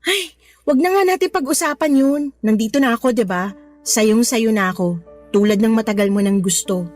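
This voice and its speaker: A woman's voice: fairly high pitch, normal speed, darkish tone, soft background music, lightly acted. A woman with a Filipino accent playing a coaxing, sweet-talking, money-minded young mistress in a Tagalog radio drama. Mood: placating.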